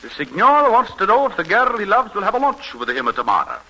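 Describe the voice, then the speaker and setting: An actor playing an eager, flirtatious newspaper reporter in 1940s radio style, brisk and persistent. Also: male voice, sits high, huge pitch swings, bright, forceful tone, heavily acted. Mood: flirtatious, insistent. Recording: noisy vintage 1940s radio recording.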